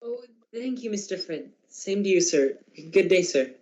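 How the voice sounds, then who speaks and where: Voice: medium pitch, animated, normal speed, darkish tone, lo-fi sound, lightly acted. A performer playing a timid, deferential male clerk in a radio drama, meek and stammering. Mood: cheery.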